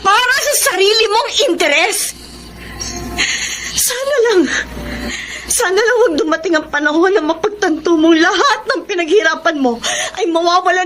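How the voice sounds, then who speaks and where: An actress with a Filipino accent playing a hurt, devoted young girlfriend in an emotional radio drama. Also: extremely high pitch. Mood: tearful, anguished.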